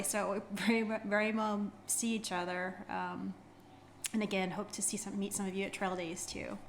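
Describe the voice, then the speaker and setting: A woman's voice: medium pitch, a little hiss or hum. A middle-aged American woman chatting casually and informally to camera as a hiking vlogger, rambling a little as she goes. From United States.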